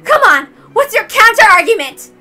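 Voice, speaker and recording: A woman voicing an unhinged, boastful young woman detective in a dramatic story reading, frantic and shouting. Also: extremely high pitch, sing-song, moderate pace, faint music behind, voice pushed up, exaggerated voice. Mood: aggressive, accusing. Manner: frantic, shouting, cackling outbursts.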